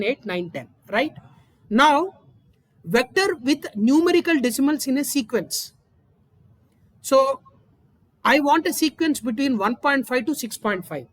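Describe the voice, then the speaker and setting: A woman's voice: sits high, dry recording, rough recording. A woman teaching a recorded programming lesson, explaining each step and often checking in with "right?". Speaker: instructor. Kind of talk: screen-recorded coding lesson.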